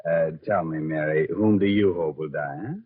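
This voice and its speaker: Low-pitched, sly macabre man hosting. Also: normal speed. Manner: sly, macabre, mock-ominous. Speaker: radio horror show host. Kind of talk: 1940s radio horror narration. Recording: dull old radio recording. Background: music.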